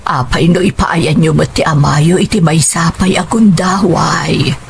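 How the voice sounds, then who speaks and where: A woman playing a patient mother of grown children in a radio drama, gently imploring with an Ilocano accent. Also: low voice, measured pace, vocal fry, slight background noise. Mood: earnest pleading.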